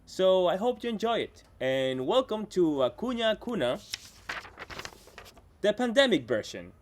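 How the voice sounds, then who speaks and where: A man's voice: sits high, very animated, unhurried. A Peruvian man with a Spanish accent, hosting a playful comedic monologue to camera. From Peru. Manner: chatty, playful, teasing asides. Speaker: comedian and show host. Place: home in Washington.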